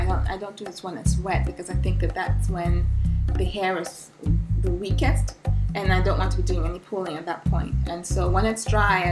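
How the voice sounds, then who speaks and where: A woman from Ghana, a vlogger talking to camera in a thoughtful, musing way, often restarting her sentences. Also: fairly low pitch. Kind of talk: casual talk to camera. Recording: echoey room with loud music behind.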